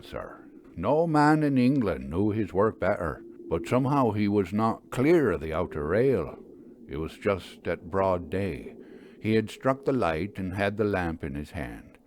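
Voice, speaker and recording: A man voicing a somber, respectful railway workman in a story reading, speaking plainly and gravely. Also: low-pitched, a little hiss or hum, full character voice.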